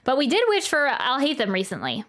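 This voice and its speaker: A woman with a high voice hosts a casual game livestream. She rambles in a giggly, chatty way, with soft game music behind her. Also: huge pitch swings, a little fast. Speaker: livestream host playing a video game. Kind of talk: casual livestream reaction chat.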